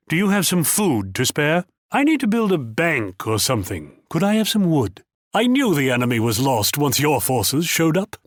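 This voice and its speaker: A man's voice: medium pitch, no echo, studio quality. A man voicing a proud, condescending, wealthy Dutch military commander in a video game, with a theatrical and patronizing manner. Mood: courteous, proud. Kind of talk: video game character voice lines.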